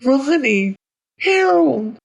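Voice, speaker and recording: A man's voice: sits high, expressive, measured pace, vocal fry, breathy edge, pitched above the performer's own voice, exaggerated voice. A man voicing the ghost of a lonely, abandoned little boy in a horror story, plaintive and pleading. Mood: anguished, accusing.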